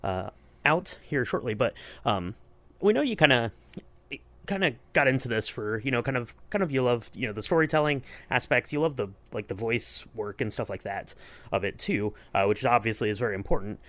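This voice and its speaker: A man's voice: mid-range voice, expressive, brisk, a bit bright. A man hosting a casual podcast interview, with hesitant, rambling phrasing. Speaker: podcast host.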